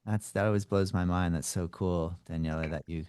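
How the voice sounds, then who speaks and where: A man's voice: sits low, fairly even intonation, fairly quick, darkish tone, clean tone, no echo, clean studio sound. A man hosting a podcast, asking curious, rambling questions on a video call. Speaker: podcast host. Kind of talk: casual interview conversation.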